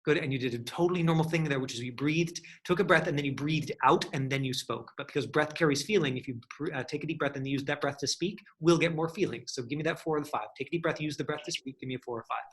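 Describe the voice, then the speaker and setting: Male acting coach, encouraging and instructive, higher register, crisp bright sound. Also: fast, hardly any pauses. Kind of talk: hands-on acting lesson.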